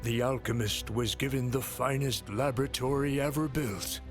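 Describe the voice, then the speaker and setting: A man playing a solemn narrator who tells a dark pirate legend in a measured, dramatic scripted style. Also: mid-range voice, lightly acted. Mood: solemn, foreboding. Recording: music under the narration.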